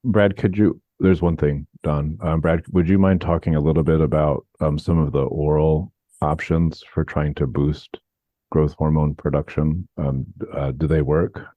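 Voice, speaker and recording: Deep-voiced male doctor, thoughtful and halting, dry phone-line sound. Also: level tone, dry recording. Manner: hesitant, many fillers, thoughtful. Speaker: guest doctor. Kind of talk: expert explanation in interview.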